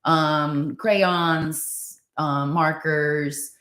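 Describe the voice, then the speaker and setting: Low-voiced chatty woman from Finland, roomy sound. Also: steady tone, extremely slow, fairly bright tone. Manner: chatty, many fillers, laughs easily. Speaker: guest running a school in Tanzania. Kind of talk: casual interview chat.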